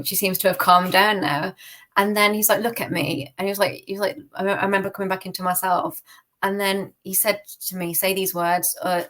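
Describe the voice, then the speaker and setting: A woman from the UK with a British accent, telling a personal story casually as a podcast guest. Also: mid-range voice. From United Kingdom. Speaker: podcast guest giving testimony.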